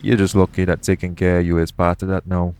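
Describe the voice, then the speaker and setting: Man playing low-voiced pirate captain. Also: flat delivery, fast talker, a little mellow, smooth. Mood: guarded, wistful. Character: shady, guarded pirate captain, roguish yet gentle. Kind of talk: intimate audio roleplay acting addressed to the listener.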